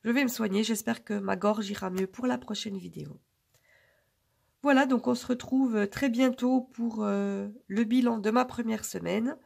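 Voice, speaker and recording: A woman with a hoarse, husky voice from a cold, chatting casually and rambling to her viewers with many pauses. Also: fairly high pitch, a little mellow. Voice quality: gravelly. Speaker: budgeting vlogger.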